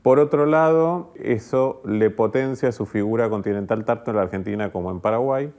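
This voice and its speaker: A man speaking analytically in an interview, articulate and measured, stressing the openings of his points. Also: lowish voice, darkish tone. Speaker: interviewed commentator.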